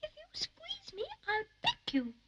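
A woman's voice: very high voice, wildly swooping pitch, measured pace, background noise, heavily acted. A woman voicing a perky, preening but helpful talking bird in a children's puppet adventure, with a pert, sing-song manner.

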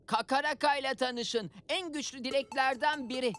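High-voiced, enthusiastic wish keeper. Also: female voice, moderate pace, a bit bright. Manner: explanatory, presenting. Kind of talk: children's cartoon dub. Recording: music under the voice.

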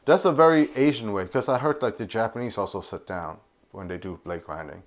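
A man's voice: fairly low pitch, brisk. A middle-aged American man from New York City chatting casually, slangy and given to repeating himself. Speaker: guest. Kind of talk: casual chat. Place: small home office indoors. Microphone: clip-on lapel mic.

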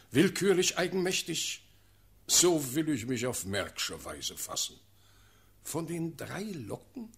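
An actor playing a stern, self-assured ruling prince in a classical verse radio drama, declaiming with measured authority. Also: male voice, medium pitch, creaky, fairly bright tone, breathy edge, exaggerated voice.

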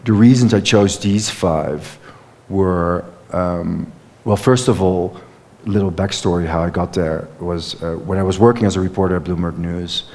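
A man's voice: sits low, moderate pace, a little mellow. A Dutch man in his thirties, an author answering questions on stage, talking fluently with many fillers and restarts into a headset mic. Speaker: author and former reporter. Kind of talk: onstage conversation answering questions. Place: stage in a lecture hall. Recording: lecture hall with long reverb.

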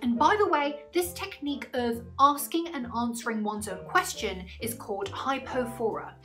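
A woman's voice: on the high side, moderate pace, a bit bright, soft background music. A woman in her twenties giving an articulate, scripted literature lesson to camera in a small room. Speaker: English literature tutor. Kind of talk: scripted educational explanation to camera. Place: small indoor room, plain wall.

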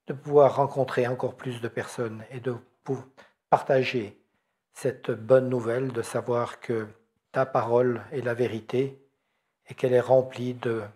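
A middle-aged man prays on a handheld mic in a small church hall. His voice sits at an ordinary pitch, and his pace is steady and earnest. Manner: earnest, measured prayer. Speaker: church member leading prayer. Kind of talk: closing prayer.

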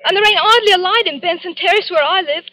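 Very high-pitched, trembling young waitress. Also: female voice, quick pace, sharp tone. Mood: fearful, pleading. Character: frightened, trembling young working-class waitress. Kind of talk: 1940s radio drama acting. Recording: noisy old radio recording.

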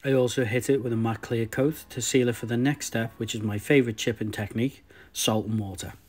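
A young man with a sore throat, quietly talking through how he customizes an action figure. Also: medium pitch, fairly even intonation, a little fast. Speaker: toy customizer host. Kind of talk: casual tutorial narration.

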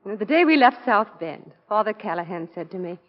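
A woman plays a devoted wife in a 1940 radio play. Her voice is tender and medium-pitched, softly spoken, on a dull vintage recording. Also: expressive, fairly quick, natural voice. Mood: contented. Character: gentle, devoted, worrying middle-aged wife. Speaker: radio actress. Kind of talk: 1940s radio drama acting.

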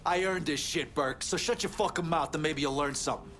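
A man's voice: on the high side, brisk, fairly bright tone, a little hiss or hum, natural voice. A man playing a proud, assertive mafioso in a video game, speaking bluntly. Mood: angry. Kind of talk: cinematic video game acting.

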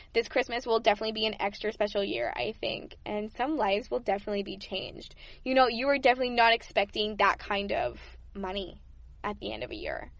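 A woman's voice: fairly high pitch, no room sound. A young woman hosting a podcast, sharing good-news stories in a chatty, upbeat way with frequent laughs. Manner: chatty and upbeat, laughs often, repeats words. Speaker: podcast host. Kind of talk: casual good-news chat.

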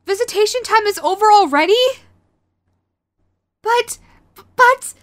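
A woman's voice: very high voice, animated, unhurried, a bit bright, no echo, heavily acted. A woman playing a sweet, clingy, remorseful yandere girlfriend in an audio roleplay, hesitant and pleading, speaking intimately to the listener. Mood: distressed. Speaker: voice actor.

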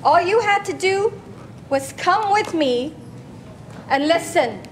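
A woman's voice: very high voice, expressive, slow, full character voice. A woman with as her playing a bitter, scolding refugee mother in a staged play reading, emphatic and exasperated. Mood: bitter, hurt. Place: large glass-walled hall, on stage. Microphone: handheld microphone through PA speaker. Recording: noisy live stage PA recording.

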